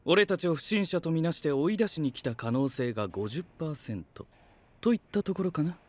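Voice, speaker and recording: A man's voice: fairly high pitch, animated, a little fast. A man playing a coolly analytical young knight in an anime voice drama, speaking in a clipped, formal, matter-of-fact way. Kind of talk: Japanese anime voice drama acting. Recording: music behind the voice.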